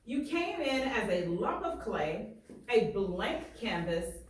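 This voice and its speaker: Expressive woman teacher in an echoey room. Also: mid-range voice, slow. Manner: emphatic, often checking with "right?" and "all right?". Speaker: teacher reading aloud to a class. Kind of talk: expressive classroom read-aloud with questions to pupils. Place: echoey classroom, mic at a distance. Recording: roomy echo, distant mic, faint noise.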